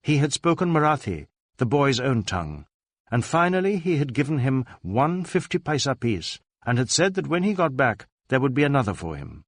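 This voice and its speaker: Man, audiobook narration, mid-pitched, expressive, varied intonation, dry sound. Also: normal speed, dry recording. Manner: expressive storytelling.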